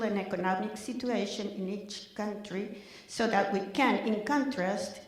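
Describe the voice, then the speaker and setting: Older woman, slow, in a very echoey hall. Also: medium pitch, a little mellow. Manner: careful, measured delivery with small restarts. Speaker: panel facilitator. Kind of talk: presenting to a live audience.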